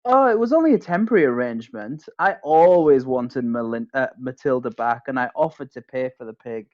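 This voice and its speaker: A man's voice: mid-range voice, expressive, fairly quick, dull audio, lightly acted. A man playing a possessive, blustering husband in a period courtroom radio play. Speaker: plaintiff.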